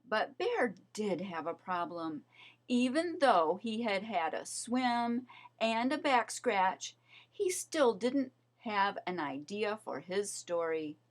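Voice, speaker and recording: A woman reading a children's story aloud as narrator, in an expressive, measured storytelling manner. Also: medium pitch. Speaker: storybook reader.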